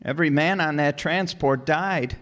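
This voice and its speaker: An actor in a radio play as a gentle, flustered apprentice angel, earnest and hesitant. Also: male voice, a little high, normal speed, close to an everyday voice. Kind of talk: live radio play acting. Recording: stage hall with long reverb.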